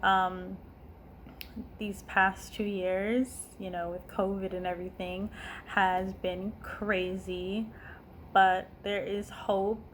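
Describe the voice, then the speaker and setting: Earnest, unhurried woman in her twenties. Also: medium pitch, flat delivery, slight background noise, poor audio. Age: 20s. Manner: earnest and heartfelt, asks herself questions. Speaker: online language teacher. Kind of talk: casual talk to camera.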